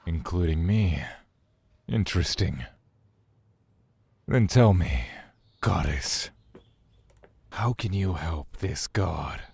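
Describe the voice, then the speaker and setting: An actor playing a brooding, aloof god in an audio drama, wary yet curious, with formal, archaic phrasing. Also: male voice, deep voice, animated, takes it slowly, vocal fry, pauses often, a little mellow, breathy edge, no echo, heavily acted. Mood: skeptical, curious.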